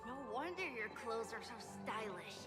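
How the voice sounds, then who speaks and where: A woman playing an innocent, curious young child in a video game, gentle and guileless in manner. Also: on the high side, very animated, normal speed. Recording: music under the voice.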